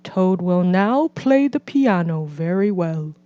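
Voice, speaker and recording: A woman voicing a strange, disembodied dream announcer in a storybook, making formal, drawn-out stage announcements. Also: fairly low pitch, expressive, warm tone, smooth, no room sound, dull audio. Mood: grand, eerie.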